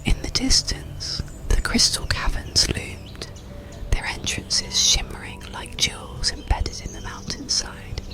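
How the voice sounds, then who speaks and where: A narrator reading an ASMR bedtime story in a calm, breathy, soothing whisper, unhurried throughout. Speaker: audiobook narrator.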